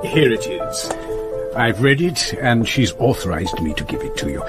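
A grave, gentle parish priest with a British accent. His voice is very deep and moves expressively, courteous yet firm, as heard in a radio play. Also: sing-song, normal speed, over loud music. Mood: solemn, regretful. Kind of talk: British radio drama acting. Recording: noisy radio drama with ambience.

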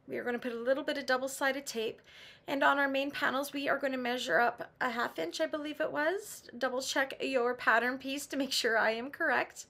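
Woman, middle-aged, higher pitch, raspy after laryngitis; practical tutorial host. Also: fairly even intonation, fairly quick, pushed and bright. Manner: patient, practical, with some "um" and restarts. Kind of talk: step-by-step sewing instruction. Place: home sewing room.

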